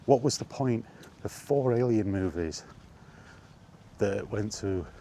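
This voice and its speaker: A British man with a deep, dark-toned voice talks casually to his viewers as a film vlogger. He rambles bluntly, with exasperation and dry sarcasm. There is faint noise behind him. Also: expressive, slow. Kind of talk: casual opinionated film chat, rambling monologue.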